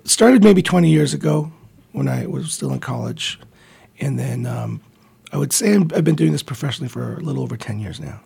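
Man, gravelly, husky, friendly, hesitant, studio interview guest. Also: medium pitch, sing-song, a little fast, mellow, no echo. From Philippines, raised in Montreal. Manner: friendly, hesitant with many ums. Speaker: guest, comic book writer. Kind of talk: radio interview. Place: radio studio.

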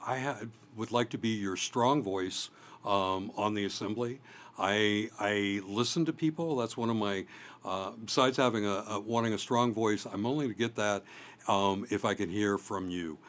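A man's voice: lowish voice, moderate pace. A middle-aged man speaking as a candidate at a local election forum, earnest and emphatic, with restarts and fillers. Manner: earnest, emphatic with loud pushes.

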